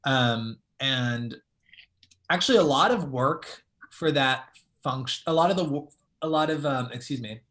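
A man's voice: medium pitch, unhurried, a bit bright. A young man with a Miami accent, a poet answering questions on a video call and thinking aloud with many fillers. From Miami, United States. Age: young adult. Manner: thoughtful, hedging, many "like" fillers. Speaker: poet guest. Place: small room at home, indoors. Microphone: video call webcam.

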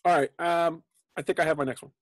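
A man's voice: fairly high pitch, steady tone, fast, dry recording, clean studio sound. A man in his thirties co-hosting a podcast with casual, playful chat from home. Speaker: podcast co-host. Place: home basement room.

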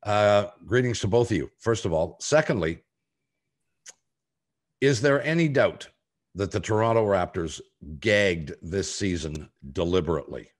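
An older man with a deep, low voice hosts a sports podcast. He speaks deliberately and haltingly, leaving pointed pauses as he lays out his opinions. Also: animated. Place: home room on a video call.

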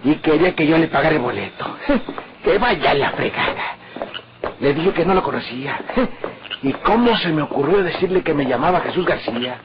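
An actor playing a nervous, scheming northern Mexican outlaw who grumbles to himself in colloquial exclamations in an old radio drama. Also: male voice, fairly high pitch, lively intonation, moderate pace, close to an everyday voice. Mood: smug, amused. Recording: muffled, very noisy old radio recording.

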